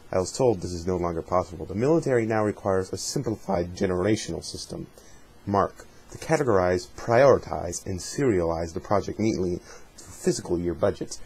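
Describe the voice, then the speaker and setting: A man with a fairly low, husky voice reads aloud for an audience of fans. His delivery moves between dramatic recitation and casual, conversational commentary. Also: a little mellow, poor audio. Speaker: reader of a fan dramatic reading. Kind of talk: dramatic reading with casual asides.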